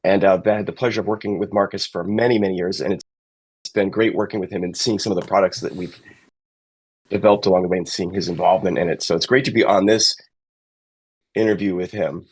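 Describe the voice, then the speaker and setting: A man's voice: deep voice, steady tone, fast talker, slightly rough, poor audio. A middle-aged man, giving conversational interview answers as a technical sales manager.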